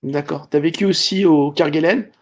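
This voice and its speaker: A man interviewing a guest in a casual, halting way, asking questions with lots of fillers. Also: a little high. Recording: dull lo-fi sound.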